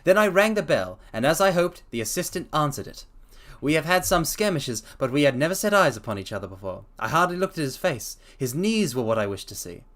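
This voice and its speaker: A man's voice: a little high, very animated, fairly quick, a bit bright. A man voicing a brilliant, languid detective explaining his deductions in a theatrical storybook reading. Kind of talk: dramatic reading.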